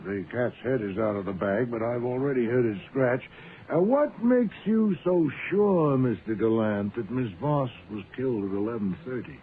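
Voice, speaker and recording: An actor playing a pompous, shrewd, imperious private detective in a radio mystery, with erudite, deliberate, theatrical phrasing. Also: male voice, medium pitch, expressive, lightly acted. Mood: smugly deductive. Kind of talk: radio mystery drama acting. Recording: narrow, tinny, noisy old-time radio recording.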